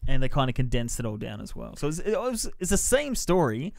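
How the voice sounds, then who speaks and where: A man with a mid-pitched voice talks through a film review on a podcast. His delivery is informal and rambling, with slangy wording, and the sound is dry with very little room. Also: sing-song, fairly quick, vocal fry, darkish tone, no room sound. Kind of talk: casual review chat.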